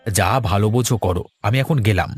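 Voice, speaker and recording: A man's voice: lowish voice, fast talker, husky. A man voicing a practical, conciliatory village farmer husband in a folk-tale cartoon. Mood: placating. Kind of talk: animated cartoon voice acting. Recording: music under the voice.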